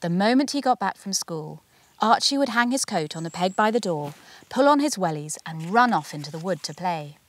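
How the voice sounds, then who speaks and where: A woman narrating a children's picture book as its author, in an expressive, measured storytelling manner. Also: on the low side, no echo. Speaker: author reading their own picture book.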